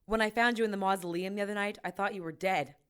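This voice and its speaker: A woman's voice: mid-range voice, steady tone, quick pace, clean tone, lightly acted. A woman playing a tough, exasperated ex-cop in an audio drama, with a blunt, sardonic manner. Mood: worried, guarded. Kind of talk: naturalistic audio drama acting.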